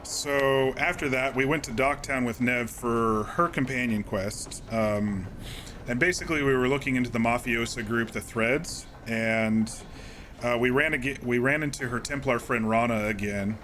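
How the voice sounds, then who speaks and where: Male streamer, calm mid-pitched voice, easygoing recap with lots of ums, faint hum behind. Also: normal speed. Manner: relaxed, rambling, many fillers and restarts. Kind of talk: casual recap chat.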